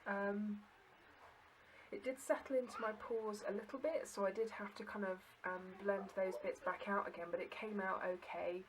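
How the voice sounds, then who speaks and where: A woman's voice: mid-range voice, fairly even intonation, normal speed, a crowd in the background, rough recording. A woman vlogger giving a casual, chatty and understated makeup review to the camera in an informal way.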